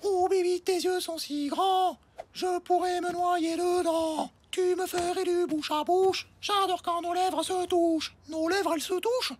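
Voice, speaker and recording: A woman voicing a naive, slow-witted little schoolgirl in a cartoon, placid, artless and literal. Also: extremely high pitch, steady tone, a little hiss or hum. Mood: reciting. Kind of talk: animated series voice acting.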